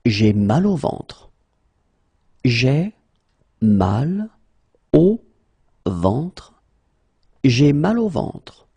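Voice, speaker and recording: A man teaching French in a pronunciation drill, slowly repeating each phrase and then saying it word by word. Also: medium pitch, sing-song, gravelly, mellow, slightly rough, no echo.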